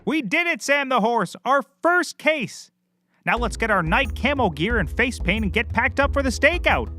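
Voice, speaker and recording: A man's voice: very high voice, very animated, moderate pace, bright, forceful tone, faint music behind, heavily acted. An actor playing a bumbling, eager and clueless male private eye in a scripted comedy, chatty and naively confident. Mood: excited. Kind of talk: scripted comedy audio drama.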